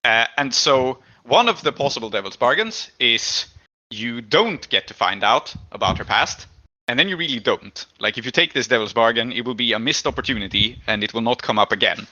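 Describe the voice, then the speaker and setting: Male game master, bright crisp delivery, improvised narration with thoughtful hesitations, slightly dull lo-fi recording. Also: medium pitch, animated, a little fast.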